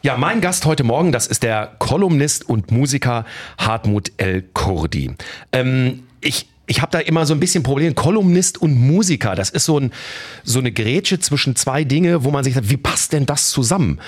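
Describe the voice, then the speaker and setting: A man's voice: medium pitch, big pitch swings, moderate pace. A man hosting a casual radio interview in a studio, chatty and often stumbling over his own restarts. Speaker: radio host.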